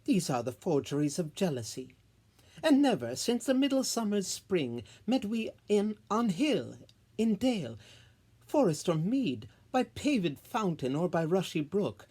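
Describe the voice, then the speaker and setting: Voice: mid-range voice, big pitch swings, measured pace, dark tone. A solo reader voicing a proud, scornful fairy queen with haughty, declamatory Shakespearean delivery. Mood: indignant. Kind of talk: solo Shakespeare verse reading.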